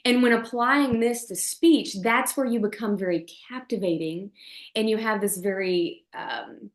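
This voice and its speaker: A woman who coaches voice and charisma, speaking as a podcast guest with an expressive delivery that swings her pitch and loudness for emphasis. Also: unhurried. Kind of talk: podcast interview. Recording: roomy echo.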